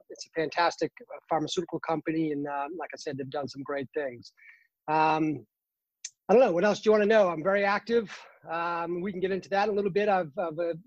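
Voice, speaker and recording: A man in his fifties with a Boston accent, a guest in a casual interview, talking earnestly with many pauses and fillers. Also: fairly high pitch, no room sound, lo-fi sound. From Boston, Massachusetts. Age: 50s. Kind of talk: casual interview over a call.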